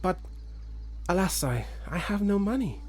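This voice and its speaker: A man voicing a gentle, trusting young princess in a sweet, innocent storybook manner. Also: high voice, sing-song, a little slow, breathy edge, voice pushed up, full character voice. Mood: hesitant, polite.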